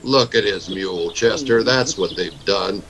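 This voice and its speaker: An actor playing a stern, laconic frontier marshal in a vintage radio Western, speaking in a terse, firm way. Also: male voice, fairly low pitch, measured pace, noisy background. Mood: wary.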